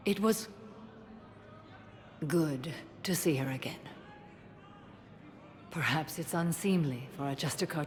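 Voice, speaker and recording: A woman's voice: sits low, darkish tone. A woman playing a solemn, composed alien warrior in a video game, speaking formally and with restraint. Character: female alien Justicar warrior, solemn, composed, devoted mother. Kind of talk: video game cutscene dialogue.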